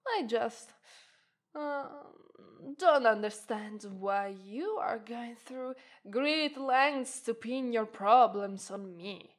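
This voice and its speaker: An alluring witch drawls out her flirtatious teasing in a slightly high voice, played by a woman. She takes her time over every word, unhurried and playful. It is an ASMR roleplay, voiced by an Italian native speaker. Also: animated. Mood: musing.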